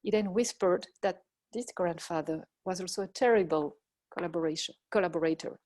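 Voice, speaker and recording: A middle-aged woman with a Belgian French accent delivers a lecture built from personal anecdotes. She speaks in a measured, reflective way, pausing often and reworking her phrasing mid-sentence. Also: fairly low pitch, darkish tone. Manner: reflective, measured, with pauses and self-corrections. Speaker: professor giving a talk.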